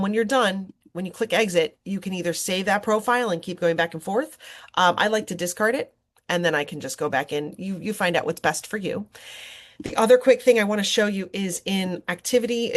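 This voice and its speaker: A woman presenting an informal software workshop as an online instructor, talking conversationally and encouragingly with examples from her own teaching. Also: lowish voice. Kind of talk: informal software tutorial with screen demonstration.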